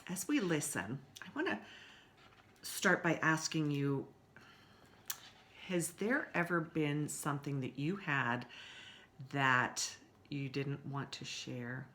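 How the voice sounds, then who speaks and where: A woman reads a children's story as a library story time host. Her voice is bright and very animated, and she speaks directly to her young listeners in an expressive storytelling style. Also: deep voice, takes it slowly, pauses often. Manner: animated, asks listeners questions.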